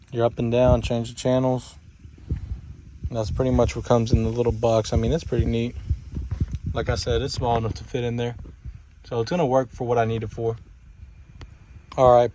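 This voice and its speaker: A man's voice: mid-range voice, mellow. A man giving a casual, offhand hands-on walkthrough as a DIY vlogger, speaking informally. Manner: informal, offhand, uses y'all. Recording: noisy handheld video with clicks.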